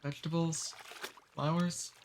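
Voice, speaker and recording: A man voicing a friendly, centuries-old male demon in an ASMR roleplay. He talks calmly and teases gently. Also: a little high, measured pace, slight background noise. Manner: calm, friendly, gently teasing.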